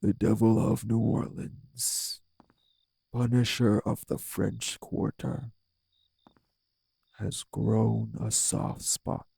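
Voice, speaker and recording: Man playing a slow, gravelly, haughty vampire sire. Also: on the low side, level tone, warm tone, no room sound, full character voice. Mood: menacing, mocking. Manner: formal, deliberate, menacing, haughty diction. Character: refined, bitter, possessive old vampire sire, jilted husband. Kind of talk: dramatic audio roleplay acting.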